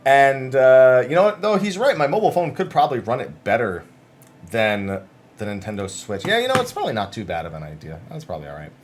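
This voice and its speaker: A man's voice: medium pitch, big pitch swings, brisk, a little hiss or hum. A man hosting a gaming news video, talking through written interviews in a chatty, conversational way with casual asides.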